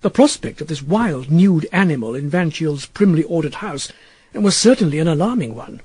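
A man reading literary fiction as an audiobook narrator, in a wry, expressive storytelling manner. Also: a little high, normal speed, husky, no room sound. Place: dry studio.

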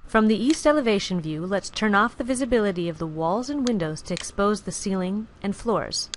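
A woman giving clear, even, scripted narration for a software screencast tutorial, recorded as a studio voice-over. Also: mid-range voice, brisk, a little hiss or hum. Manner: clear, even instructional delivery.

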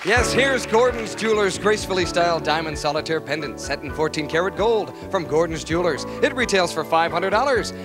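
Male game show announcer, slick scripted prize copy, slightly high voice, music bed under. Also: animated, brisk, hardly any pauses, a crowd in the background. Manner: polished promotional delivery.

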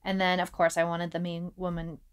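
A woman's voice: fairly low pitch, monotone, a little fast, clear, resonant tone. A woman talking conversationally as a podcast co-host.

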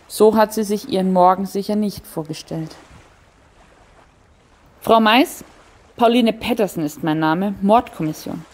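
A woman's voice: medium pitch, a little mellow, lightly acted. Woman acting a composed female homicide detective. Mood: musing, then businesslike. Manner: matter-of-fact, polite, probing. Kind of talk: audio crime drama acting.

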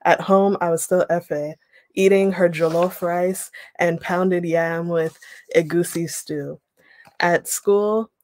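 A young woman leading an online writing workshop, reading a passage aloud and then explaining it with relaxed pauses over a video call. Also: lowish voice, dry recording. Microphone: webcam on a video call.

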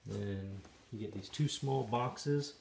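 A man with a low, gravelly voice talks slowly and plainly, pausing to find his words as he explains what he's doing. It sounds like a handheld phone recording in a garage, with a faint background hum. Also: a little mellow. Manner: plain, matter-of-fact, halting with fillers. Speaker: DIY video maker. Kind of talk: casual step-by-step narration while assembling. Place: garage or shop and outdoors by a trailer. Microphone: handheld phone camera.

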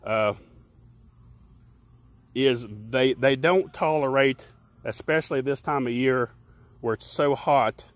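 A man's voice: mid-range voice, takes it slowly, long pauses, no room sound. A man talking casually about beekeeping while working his hives outdoors, rambling and repeating words. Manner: rambling, repeats words, plain folksy speech. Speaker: beekeeper and channel host. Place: outdoors beside beehives in a grassy yard. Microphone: handheld camera held by the speaker.

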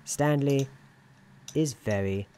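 A soft-spoken man giving a scripted ASMR roleplay narration in a dryly wry, deliberate storybook manner. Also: mid-range voice. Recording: keyboard typing and clicking noise. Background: keyboard typing, clicks.

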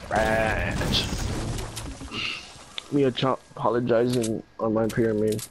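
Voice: medium pitch, steady tone, takes it slowly, noisy background. A friend chatting dryly during a shared game, teasing and asking questions. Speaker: gaming friend. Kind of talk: casual gaming chat.

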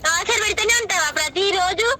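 A woman's voice: very high voice, fast talker, over music. A young woman with a Telangana Telugu accent arguing indignantly in a casual speakerphone call. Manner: indignant, combative. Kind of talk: heated casual phone argument.

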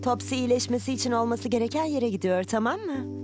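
Woman as a high-voiced, reassuring cartoon mum. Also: lively intonation, fast, over music, natural voice. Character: caring, reassuring young mother of twins. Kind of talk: children's cartoon dub.

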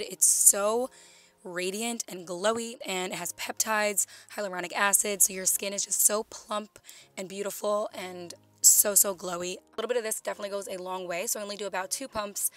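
Slightly raspy young woman gushing over skincare. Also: medium pitch, fairly bright tone. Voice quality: slightly raspy, losing her voice. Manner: gushing, enthusiastic product talk. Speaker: beauty vlogger. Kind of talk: voiceover for a skincare video. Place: home room, voice-over recorded separately.

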